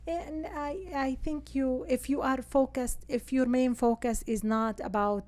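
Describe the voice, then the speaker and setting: Higher-voiced woman with an Arabic accent. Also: fairly even intonation, unhurried, darkish tone. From Syria. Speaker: interview guest, real estate agent. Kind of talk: conversational podcast interview.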